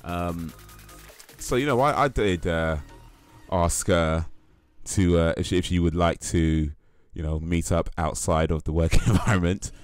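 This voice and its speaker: A man's voice: low voice, unhurried, smooth, no room sound, faint music behind. A man hosting a livestream, chatting casually with viewers and reading quiz statements aloud, rambling and hesitant with lots of fillers and restarts. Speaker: livestream host.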